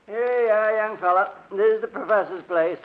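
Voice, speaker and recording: An actor playing a folksy, wary small-town sheriff with a rural American accent and drawling understatement, in 1940s radio drama style. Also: male voice, high voice, normal speed, close to an everyday voice. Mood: folksy, helpful. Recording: tinny, band-limited old radio recording.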